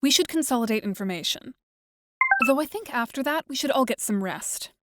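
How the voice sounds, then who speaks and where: A woman playing an analytical teenage girl strategist in an audio drama, measured, articulate and businesslike. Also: high voice, lively intonation, heavy background noise. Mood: composed, businesslike.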